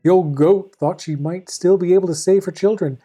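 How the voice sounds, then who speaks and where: A woman hosting and narrating a storybook tale in a formal, deadpan manner with dry asides. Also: lowish voice, normal speed, soft background music. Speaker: fairy tale reader and host.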